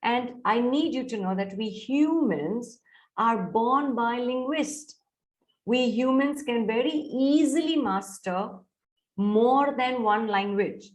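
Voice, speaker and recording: A middle-aged woman with an Indian English accent gives an online soft skills lecture to students. She coaxes them and keeps checking whether they are following. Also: a little high, slow pace, low-quality recording. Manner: coaxing, mixes Hindi into English, checks on students often. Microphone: video call.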